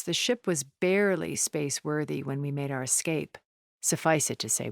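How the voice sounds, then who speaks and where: A woman playing a wealthy, cunning, ancient art connoisseur in a video game, speaking in a refined, measured, articulate way with a wistful temper. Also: low-pitched, no echo, clean studio sound. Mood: composed, businesslike. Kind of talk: video game character dialogue.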